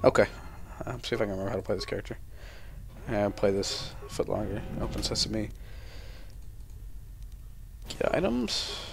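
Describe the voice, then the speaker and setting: A man's voice: lowish voice, big pitch swings, fairly quick, creaky, noisy background. A young man giving casual gameplay commentary in a sweary, irreverent, rambling manner. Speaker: gamer, let's player.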